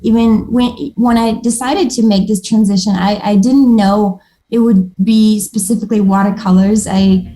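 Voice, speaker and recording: A woman's voice: medium pitch, steady tone, moderate pace, warm tone, poor audio. A woman from Moldova whose first languages are Moldovan and Russian, chatting as an interview guest in an upbeat, restarting way. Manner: chatty and upbeat, with frequent restarts and little laughs. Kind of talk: casual interview.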